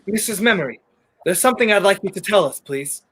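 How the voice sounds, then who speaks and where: Actor as earnest young wrongly accused gentleman. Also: high-pitched, expressive, quick pace, breathy edge, exaggerated voice. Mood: urgent, insistent. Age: young adult. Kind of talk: 1930s radio play acting.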